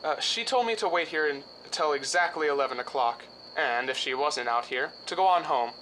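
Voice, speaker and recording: Casting: actor as casual, clipped male accomplice with quiet menace, bright forceful tone, radio drama, slight noise. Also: on the high side, a little fast, rough recording. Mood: offhand.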